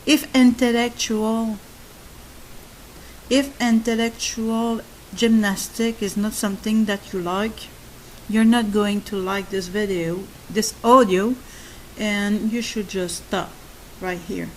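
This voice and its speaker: Slow, soft-spoken accented woman. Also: mid-range voice, steady tone, slight background noise, low-quality recording. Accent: non-native English. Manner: slow, halting, with many fillers and restarts. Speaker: channel host. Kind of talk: spoken monologue to subscribers.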